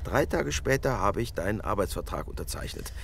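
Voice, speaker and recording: A man's voice: fairly low pitch, animated, fairly quick. An actor playing a firm, lecturing middle-aged father in a radio drama. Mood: pleased, persuasive. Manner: authoritative, lecturing. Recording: clear car noise behind.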